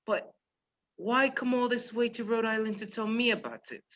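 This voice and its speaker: A performer playing a polite, measured male professor who is secretly a menacing spy chief in a radio play. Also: mid-range voice, fairly bright tone, close to an everyday voice. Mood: probing. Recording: dull lo-fi virtual recording.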